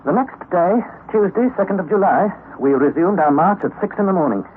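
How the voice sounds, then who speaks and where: An actor playing Harry, a young nephew narrating an expedition, in a 1930s radio drama, on a tinny old recording. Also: male voice, sits high, animated, a little hiss or hum. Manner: measured storytelling narration.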